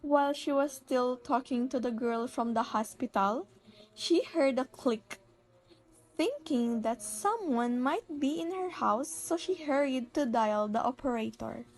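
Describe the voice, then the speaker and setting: A woman's voice: sits high, lively intonation, measured pace, rough recording. A young woman reading scripted narration for a student radio drama.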